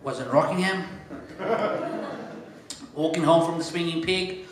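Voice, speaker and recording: A South African man hosting a comedy night from the stage, his voice sitting a little high for a man. He slips in deadpan one-liners between introductions. The sound rings out across a very echoey room. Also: measured pace. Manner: deadpan one-liners, chatty asides to the crowd. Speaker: comedy show MC. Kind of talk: stand-up compering.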